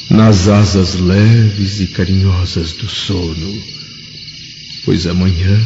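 An actor playing a proud Roman senator and tender husband in a radio drama, speaking formally and tenderly. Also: male voice, deep voice. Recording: muffled audio with clear background noise.

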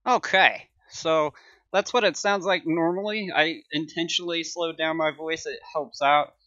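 A man talks through a software tutorial in an informal, upbeat way. His voice is bright and somewhat high. The sound is close and dry, a little lo-fi. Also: steady tone, measured pace, dry recording. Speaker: tutorial host.

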